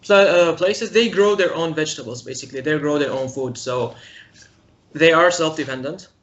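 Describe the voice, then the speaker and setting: A young man from Syria, a guest in a casual interview, speaking haltingly and searching for his words. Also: on the high side, a bit bright. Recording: muffled lo-fi recording.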